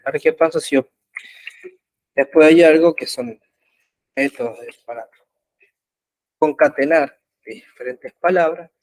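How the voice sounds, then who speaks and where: A man teaching an online web development class, explaining code slowly with frequent pauses and fillers. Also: a little high, no room sound. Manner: slow, halting explanation with many pauses and fillers. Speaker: programming instructor. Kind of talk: live online coding class. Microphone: computer mic in an online class.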